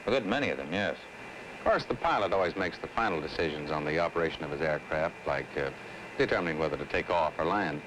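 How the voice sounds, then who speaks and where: An American man acting as an affable, earnest air traffic specialist, explaining in a measured way in an old educational film. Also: a bass voice, animated. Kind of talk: scripted 1960s educational film dialogue and narration. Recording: old 1960s film soundtrack, faint noise.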